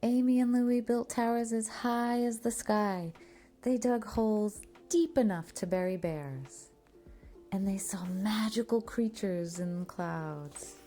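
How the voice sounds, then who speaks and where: A woman's voice: mid-range voice, slow, warm tone, faint music behind. A woman narrating a children's picture book expressively, drawing out key words for emphasis. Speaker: storybook reader. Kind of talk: scripted children's story narration.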